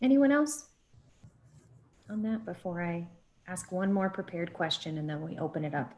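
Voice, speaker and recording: A woman's voice: on the low side, lively intonation, moderate pace, a little mellow. Encouraging woman moderating online. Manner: encouraging, loose phrasing. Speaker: panel moderator. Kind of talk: moderating a panel. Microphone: video call.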